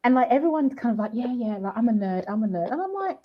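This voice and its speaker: A woman's voice: fairly high pitch, sing-song, fast. A middle-aged woman from the UK with a British accent, chatting animatedly as a podcast guest with frequent restarts. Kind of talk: casual podcast chat. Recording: dull lo-fi sound.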